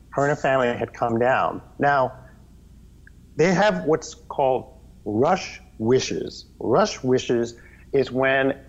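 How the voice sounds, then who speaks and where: A man telling stories as the guest in a casual interview, animated and rambling, often restarting his sentences; the audio is slightly dull. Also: medium pitch. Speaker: guest, children's TV performer.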